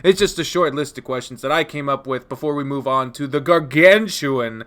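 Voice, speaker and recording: A man hosting a casual interview, excitable and loose, swearing freely and laughing often. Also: mid-range voice, moderate pace. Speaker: interview host.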